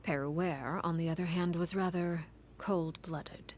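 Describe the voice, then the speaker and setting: An actress voicing a cold, composed woman in a game cutscene, recounting a grim past in a measured, grave half-whisper. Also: lowish voice, steady tone, a little mellow, a little hiss or hum, close to an everyday voice. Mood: grave, calm. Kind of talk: game story cutscene dialogue.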